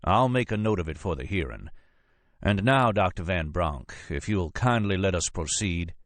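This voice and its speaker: A man's voice: deep voice, animated, normal speed, dry recording. A man voicing a coolly manipulative, courteous but commanding FBI agent with a Southern accent, in an audiobook. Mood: stern. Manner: courteous, turning sharp and officious.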